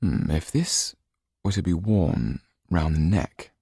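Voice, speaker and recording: Man acting a very deep-voiced, courteous gentleman. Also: expressive, dry recording, studio quality, heavily acted. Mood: thoughtful, grave. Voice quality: very deep, husky. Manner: genteel, measured, confiding. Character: courteous, thoughtful gentleman, a concerned and helpful friend. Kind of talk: audiobook character acting.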